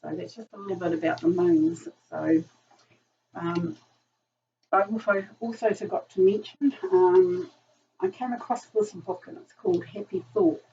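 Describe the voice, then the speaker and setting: Woman, New Zealand accent, hoarse, gravelly, rambling casual talk, distant mic, dull lo-fi sound. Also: medium pitch, measured pace, warm tone, a little hiss or hum. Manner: chatty, rambling, many ums. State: hoarse from a virus. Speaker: tarot reader. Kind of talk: casual tarot reading.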